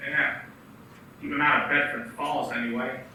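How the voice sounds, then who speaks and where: A man's voice: fairly high pitch, moderate pace, a little hiss or hum. A man playing an eager young dreamer, echoey. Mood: teasing. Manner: lively, bantering, shifts to subdued. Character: young man George, restless dreamer, warm and joking. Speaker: actor in a community radio play. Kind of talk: radio play acting. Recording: echoey room from a distance.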